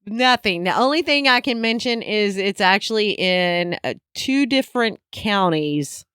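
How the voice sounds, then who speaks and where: Woman, 50s, co-host; slow, smooth delivery, teasing banter, dry sound. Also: mid-range voice, expressive, fairly bright tone, no room sound. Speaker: podcast co-host. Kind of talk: casual chat.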